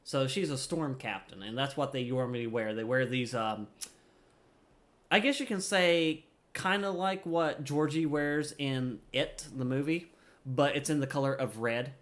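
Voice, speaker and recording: A man's voice: medium pitch, a little slow, a bit bright. Playful man doing casual gameplay commentary. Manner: playful, silly interjections. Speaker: streamer.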